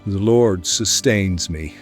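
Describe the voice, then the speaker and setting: An actor playing a weary, gentle and steadfast priest in an audio drama, speaking solemnly and earnestly. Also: male voice, low-pitched, slow pace, soft background music.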